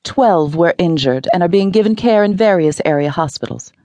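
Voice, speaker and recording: An audiobook narrator voicing a female TV newscaster with a measured broadcast delivery. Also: mid-range voice, animated, darkish tone, no room sound, lightly acted. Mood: grave. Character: grave female TV newscaster, then cheerful. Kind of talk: audiobook character reading.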